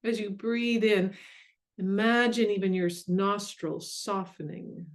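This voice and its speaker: A woman leading a guided meditation in a gentle, soothing, unhurried way with long pauses. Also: mid-range voice, fairly even intonation. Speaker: meditation guide and mindfulness coach.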